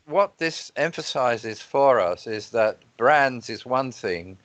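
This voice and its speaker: Measured man hosting a panel, dry sound. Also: medium pitch, expressive, fairly bright tone, no room sound, lo-fi sound. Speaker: moderator. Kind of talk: hosting an online panel discussion.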